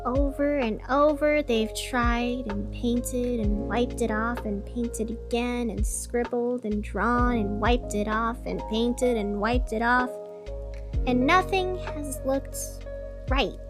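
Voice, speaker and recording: A woman's voice: on the high side, slow. A woman playing a wry, chatty small-town radio host, narrating casually in a scripted audio drama over music. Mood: wry reporting.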